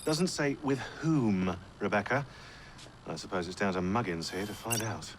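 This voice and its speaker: An actor playing a sardonic, pedantic modern field agent in a video game. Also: male voice, fairly low pitch, lively intonation, normal speed, slight background noise, lightly acted. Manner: dry, pedantic. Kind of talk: dramatic game dialogue.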